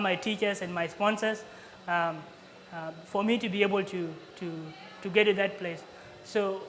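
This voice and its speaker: A young man in his twenties from South Sudan giving an earnest speech as an honoree at an alumni event, pausing often with fillers and restarts. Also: sits high, fairly bright tone, in a large hall, slight background noise. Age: 20s. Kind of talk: prepared acceptance speech.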